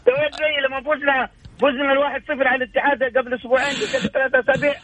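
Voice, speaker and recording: A man's voice: very high voice, little pitch movement, very fast, over a noisy crowd. A man with a Hijazi Saudi accent calling in by phone, rambling and repeating himself as he argues like a fan. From Mecca, Saudi Arabia. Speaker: phone-in caller. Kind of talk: heated casual fan chat. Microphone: telephone. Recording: tinny narrow phone line.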